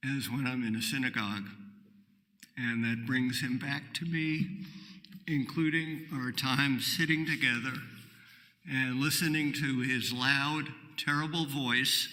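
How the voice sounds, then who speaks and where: Older man from New York, congregant reflection, slow and halting, bright voice, long sanctuary reverb. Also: medium pitch. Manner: halting, measured, with many "um"s. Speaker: congregant giving a reflection at worship. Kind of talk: personal reflection read at a service. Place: synagogue sanctuary.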